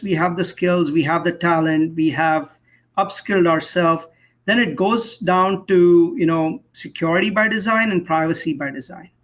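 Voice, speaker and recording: A man speaking as an expert interview guest, explaining in an articulate, measured way and posing rhetorical questions. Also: high-pitched. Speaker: cybersecurity professional, interview guest.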